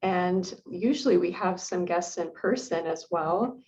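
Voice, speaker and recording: A woman's voice: lowish voice, unhurried, darkish tone. Welcoming woman on a dull lo-fi recording. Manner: friendly, welcoming. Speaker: club president. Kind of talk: meeting chairing. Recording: dull lo-fi sound.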